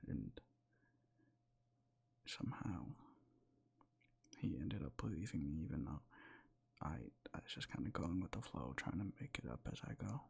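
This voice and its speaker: Sleepy whispering young man, gravelly. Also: extremely low pitch, expressive, moderate pace, long pauses. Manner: soft rambling whisper, loses his words. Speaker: ASMR creator. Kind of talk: ASMR boyfriend roleplay.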